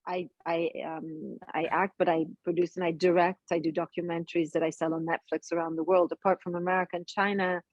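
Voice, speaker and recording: A middle-aged woman who grew up in Italy, speaking English as a guest actress in a casual interview, talking in animated bursts and often restarting her sentences. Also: lowish voice, brisk, few pauses, no echo, muffled recording. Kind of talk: casual interview over a call.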